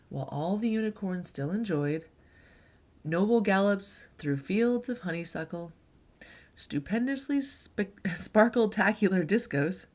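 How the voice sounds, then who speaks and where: A woman reading a children's picture book aloud in an expressive storyteller's delivery. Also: medium pitch.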